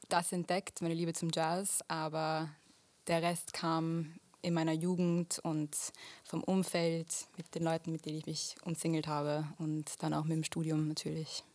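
A woman's voice: deep voice, no echo. A young woman from Vienna, a musician answering radio interview questions thoughtfully and searching for words as she goes. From Vienna, Austria. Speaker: musician guest. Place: radio studio.

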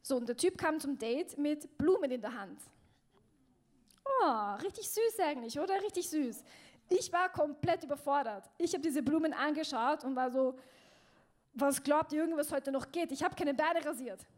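A young woman doing self-mocking stand-up with hesitant fillers. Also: high voice. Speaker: stand-up comedian. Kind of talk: stand-up comedy set. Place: comedy club stage.

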